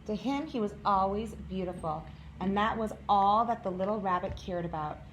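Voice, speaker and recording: A woman's voice: medium pitch, moderate pace. A woman volunteer reading a children's story aloud as narrator. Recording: clear background noise.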